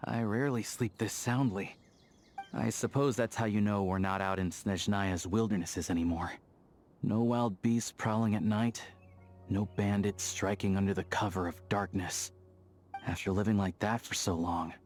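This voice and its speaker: Game role: male actor as young rugged hunter-lawman, lowish register, matter-of-fact, easygoing, light music bed. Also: natural voice. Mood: relaxed. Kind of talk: video game character dialogue. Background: soft background music.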